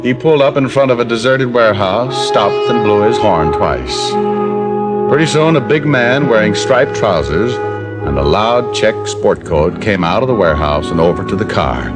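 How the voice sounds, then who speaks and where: A man playing a wisecracking private eye narrating, low voice but really lively, old time radio show. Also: normal speed, over loud music, natural voice. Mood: wry. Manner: wry, hard-boiled narration. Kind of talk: 1950s radio drama acting.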